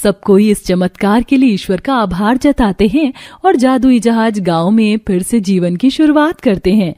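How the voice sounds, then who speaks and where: A woman's voice: medium pitch, mellow, dry recording. Woman narrating a cartoon story.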